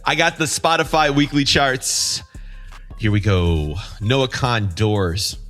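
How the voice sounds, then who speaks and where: A man with a bright, punchy voice of medium pitch leads a casual podcast conversation. He is informal and jokey, with faint music behind him. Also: expressive, slow pace. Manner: informal, joking. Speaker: podcast host, DJ. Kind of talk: casual group chat, moderating.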